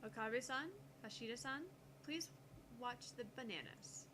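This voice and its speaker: A woman's voice: a little high, normal speed, lightly acted. A woman voicing a skeptical, analytical young female scientist with a snippy, matter-of-fact manner in a visual novel. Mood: brisk, commanding. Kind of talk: visual novel character dialogue read aloud. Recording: clear background noise.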